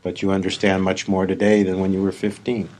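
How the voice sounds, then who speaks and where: A man leading a live guided meditation as a spiritual teacher, speaking deliberately in short phrases with long pauses. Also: deep voice, completely flat delivery, darkish tone.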